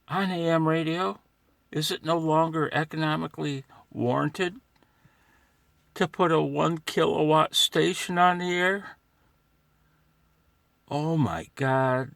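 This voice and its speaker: Slow-talking older American man, dry close sound. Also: on the high side, no room sound. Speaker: amateur radio hobbyist vlogger. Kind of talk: casual rambling monologue. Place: inside a parked truck.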